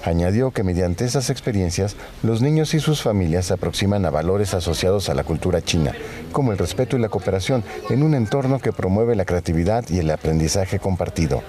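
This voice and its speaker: A man narrates a news report with a very low, mellow voice. His delivery is measured and even, in steady scripted phrasing. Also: a little hiss or hum.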